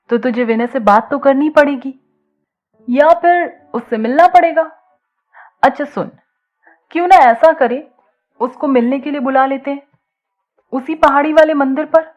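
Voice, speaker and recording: A woman voicing a teasing, bold young college student who talks in a casual, coaxing way in an audio story. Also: sits high. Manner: playful, coaxing, casual slangy talk.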